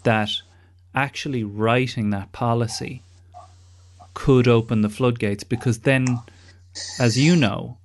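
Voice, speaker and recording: A man's voice: medium pitch, steady tone, slow pace, slight background noise. A man hosting a podcast interview in a wry, discursive, conversational way, with some casual swearing. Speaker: podcast host.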